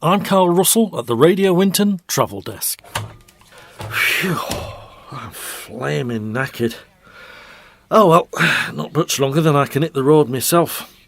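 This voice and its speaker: An actor playing a tired, edgy local radio traffic presenter in an audio drama, plain-spoken and colloquial. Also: male voice, a little high, animated, a little slow, vocal fry. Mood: brisk, official.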